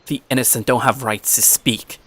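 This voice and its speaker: Male actor as brooding high school outcast narrating his story: bitter, self-pitying, mid-pitched, sharp tone. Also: fairly even intonation, moderate pace, slightly rough. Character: bitter, downtrodden teenage schoolboy telling his own story. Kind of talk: first-person dramatic narration.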